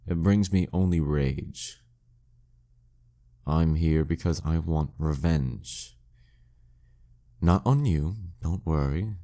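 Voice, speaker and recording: A man's voice: sits low, mellow. A man playing a proud, dominant demon king in an audio roleplay, teasing and condescending in an intimate scripted monologue. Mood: brooding, vengeful. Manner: slow, teasing, condescending, smugly theatrical. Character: dead male demon king, taunting, dominant, proud former nemesis.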